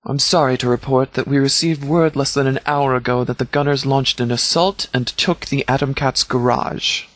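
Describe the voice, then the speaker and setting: A man playing a stern, composed sheriff in a video game, speaking in a measured, formal, authoritative way. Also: medium pitch, fairly even intonation, fairly bright tone, no room sound, close to an everyday voice. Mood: businesslike briefing. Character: stern, composed male sheriff leading a post-apocalyptic militia. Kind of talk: scripted video game dialogue.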